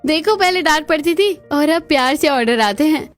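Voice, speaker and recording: A woman playing put-upon, chatty daughters-in-law in a cartoon story, with colloquial, expressive delivery. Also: sits high, moderate pace, soft background music. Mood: chatty, content.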